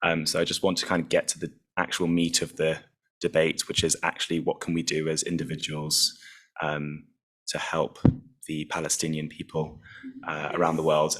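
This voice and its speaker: A man's voice: extremely low pitch, completely flat delivery, moderate pace, a bit bright. A man with a London accent asking a polite, earnest question at an online meeting over a video call microphone. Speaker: audience member asking a question.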